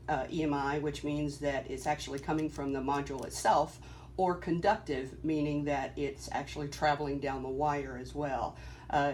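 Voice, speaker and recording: Halting technical demonstrator, faint noise behind. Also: medium pitch, a little mellow. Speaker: presenter demonstrating equipment. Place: small cluttered office workroom, indoors. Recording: faint background room noise.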